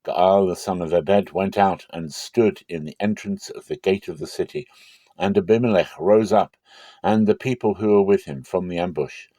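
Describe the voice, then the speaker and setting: A man giving a dramatic scripture reading as narrator, with measured, solemn storytelling. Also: low-pitched, mellow, no room sound. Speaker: Bible reader.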